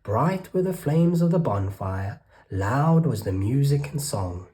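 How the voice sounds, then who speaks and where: Slow, expressive children's storyteller. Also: medium pitch. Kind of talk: children's read-aloud narration.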